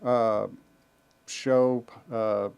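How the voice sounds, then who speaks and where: A man co-hosting a podcast, joking and asking quick questions in casual chat. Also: medium pitch, little pitch movement, vocal fry, darkish tone, clean tone. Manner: joking, punning, fast questions. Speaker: podcast co-host.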